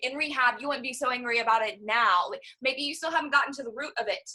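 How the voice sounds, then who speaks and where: Sharp, high-voiced, defensive older sister, acted by a woman. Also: quick pace. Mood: defensive, irritated. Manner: defensive, argumentative. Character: defensive, self-centred successful older sister. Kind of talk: stage play acting. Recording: live stage recording.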